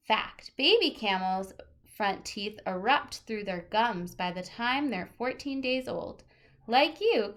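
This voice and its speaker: A woman with a fairly high voice reads like a teacher sharing a picture book with children. Her delivery is expressive, leaning deliberately on key words, with casual remarks of her own mixed in. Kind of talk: read-aloud of a children's nonfiction picture book with casual asides.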